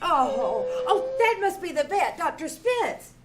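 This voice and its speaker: Casting: female actor as gushy, exclamatory wife for vintage radio comedy, extremely high voice, noisy live stage recording. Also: sing-song, slow pace, exaggerated voice. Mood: excited. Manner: cooing, exclamatory, melodramatic. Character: gushing, soft-hearted, excitable wife named Lily. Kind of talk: old-time radio comedy acting. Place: small theater stage, live performance. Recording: loud noise, live theater performance.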